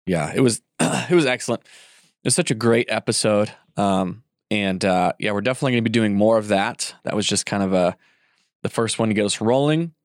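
A man's voice: on the low side, a little fast, no room sound, studio quality. A congested man hosting a casual podcast, rambling with fillers and restarts. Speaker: podcast host.

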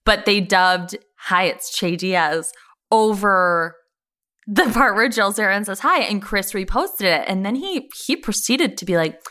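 A woman co-hosting a podcast, casually chatting about pop culture and telling stories in a chatty, animated way. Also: mid-range voice, a little slow. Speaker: podcast co-host.

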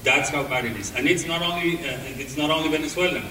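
A man with a non-native English accent giving a talk to an audience, speaking haltingly with many pauses and restarts. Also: fairly high pitch, background noise. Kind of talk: presentation to an audience. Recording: echoey hall, voice from far off.

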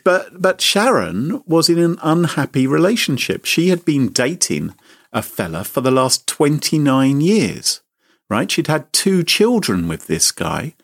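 A man hosting a chat show, telling a comic story with theatrical mock outrage. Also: creaky. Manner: mock-indignant, theatrical, sweeping pitch. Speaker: podcast host.

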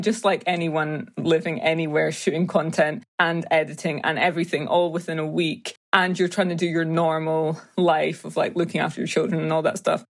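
A woman in her thirties from Scotland with a Scottish accent, chatting casually as an interview guest, full of fillers and light laughter. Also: low voice. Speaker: guest, YouTube creator.

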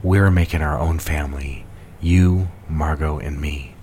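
An actor playing a suave, secretive fiancé in an intimate audio drama, coaxing and full of endearments. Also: male voice, rumbling low, steady tone, unhurried, a little mellow, a little hiss or hum. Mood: tender. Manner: coaxing, endearment-laden speech. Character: suave, ardent male fiancé, sweet-talking and secretive.

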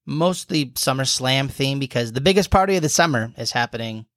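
A man's voice: medium pitch, expressive, a little fast, a bit bright, no echo. Chatty man co-hosting a podcast, dry mic. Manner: chatty, laughs easily, teasing, rambles with fillers. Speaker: podcast co-host. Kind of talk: casual podcast chat.

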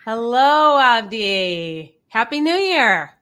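A woman's voice: fairly high pitch, very animated, slow, smooth. A woman hosting an interview podcast, talking upbeat and effusive in a casual way. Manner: upbeat, effusive, warm thanks. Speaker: podcast host.

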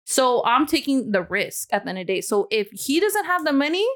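A woman's voice: sits high, brisk. A woman in her twenties in casual podcast chat, slangy and sweary, repeating herself emphatically as she vents. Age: 20s. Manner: slangy, sweary, animated venting with repetition.

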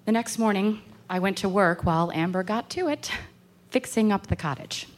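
An actress playing an anxious, haunted woman in a horror radio play, confiding in a halting, intense way. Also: medium pitch, natural voice. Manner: halting, intense confessional delivery. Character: anxious, haunted woman recounting an insect terror. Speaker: actor.

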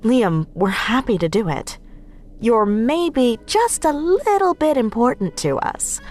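A woman's voice: on the high side, very animated, slow, darkish tone, soft background music. A woman playing a practical, thoughtful tech expert in a naturalistic audio drama. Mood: affectionate.

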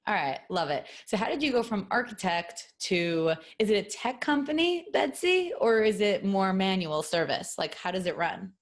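A woman's voice: medium pitch, very animated, normal speed, few pauses. A woman hosting an interview, asking curious questions and giving short encouraging replies. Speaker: podcast host, interviewer. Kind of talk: casual interview.

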